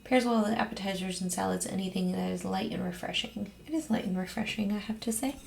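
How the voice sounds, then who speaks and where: A woman with a hoarse, raspy voice, chatting casually as host of her own get-ready-with-me vlog at home, rambling with frequent ums. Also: mid-range voice, fast. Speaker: beauty vlogger host.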